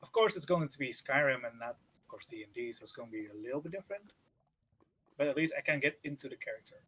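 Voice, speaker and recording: Chatty guy, vietnamese native, kinda husky voice, middle pitch, casual podcast talk. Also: lively intonation, fast, pushed and bright. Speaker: podcast co-host. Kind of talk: casual chat.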